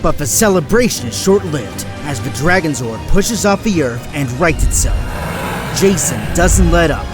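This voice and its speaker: A man's voice: fairly high pitch, lively intonation, moderate pace. A man narrating an action audio drama in a dramatic, scripted style. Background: loud orchestral music.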